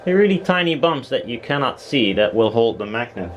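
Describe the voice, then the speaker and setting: A man's voice: medium pitch, expressive, fairly quick, a little hiss or hum. A man talking casually and bluntly as he presents his own DIY build, rambling informally. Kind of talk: casual workshop chat.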